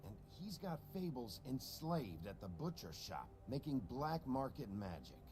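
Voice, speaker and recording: An actor playing a gruff, weary sheriff in a game, talking in a blunt, curt way, with game music underneath. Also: male voice, mid-range voice, very animated, measured pace. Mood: grim.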